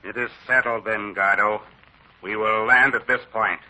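A man's voice: lowish voice, fairly even intonation, bright, forceful tone, dull audio. An actor playing a cold, formal, scheming male villain leader in a 1940s radio drama. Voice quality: measured, formal, menacing.

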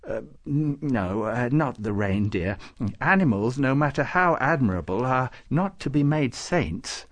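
A man's voice: mid-range voice, lively intonation, measured pace. Man voicing a stately, solemn saint. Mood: firm. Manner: stately, pronouncing formally. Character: solemn, kindly male saint presiding over council. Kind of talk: storybook character voice.

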